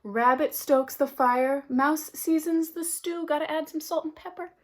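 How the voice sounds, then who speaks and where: Slow, expressive woman reading a picture book. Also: high voice. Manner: expressive, with asides and gasps to young listeners. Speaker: storybook reader.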